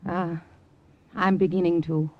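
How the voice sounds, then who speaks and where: An actress playing a proud, guarded young singer in an old radio drama. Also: female voice, on the low side, fairly even intonation, unhurried, no echo, lightly acted. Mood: uncertain. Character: young French woman singer, guarded, proud, drawn into espionage. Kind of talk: 1940s-50s radio drama acting. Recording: dull, lo-fi vintage radio recording.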